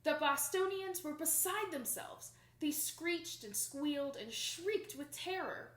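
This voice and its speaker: A young adult woman reading a picture book aloud to children in an expressive, emphatic storytelling manner. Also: high voice, takes it slowly. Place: small plain indoor room. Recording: roomy indoor echo.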